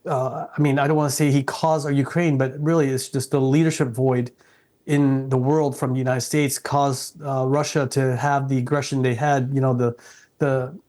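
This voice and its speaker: Earnest middle-aged man with flat delivery. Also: mid-range voice, moderate pace. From South Vietnam. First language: Vietnamese. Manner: earnest, respectful, frequent restarts. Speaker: guest. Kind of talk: interview.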